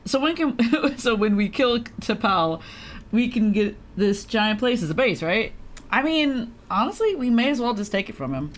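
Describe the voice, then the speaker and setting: A woman's voice: on the high side, expressive, unhurried, a little hiss or hum. A woman doing casual, chatty live gameplay commentary as a streamer, giggly and free with swearing. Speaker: game streamer.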